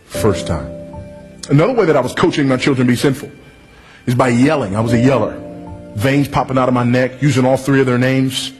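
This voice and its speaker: A man of middle pitch delivers a sermon in a firm, measured and didactic manner. Faint music plays quietly behind his voice. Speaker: preacher.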